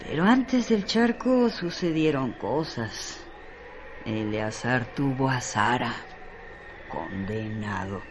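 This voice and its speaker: Man, dramatic literary narration, deliberate phrasing, very unhurried, clear background noise. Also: medium pitch, lively intonation.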